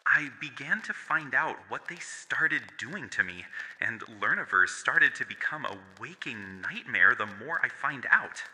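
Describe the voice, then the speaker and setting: A man's voice: mid-range voice, very animated, unhurried, few pauses, bright, forceful tone, heavily acted. A man voicing a traumatised furry cult survivor in an audio horror drama, speaking in a solemn, confessional way. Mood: anguished, intense. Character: traumatised male furry cult survivor with nature powers, haunted. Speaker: voice actor. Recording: long hall-like reverb.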